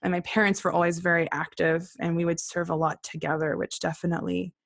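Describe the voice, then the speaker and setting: A woman's voice: lowish voice, level tone, fast talker, gravelly, no echo, clean studio sound. A woman from Australia, raised partly in Israel, telling personal stories casually and candidly as an interview guest. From born in Australia, raised in Israel and Brisbane. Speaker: podcast guest, singer-songwriter.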